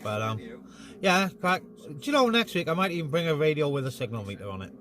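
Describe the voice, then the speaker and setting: A man's voice: fairly high pitch, very animated, brisk, pushed and bright. A man chatting casually and jokily on CB radio while filming outdoors, in a matey way. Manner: chatty, matey, joking exaggeration. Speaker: vlogger and CB radio hobbyist. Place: outdoors on a busy mountain top. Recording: clear vehicle and outdoor noise.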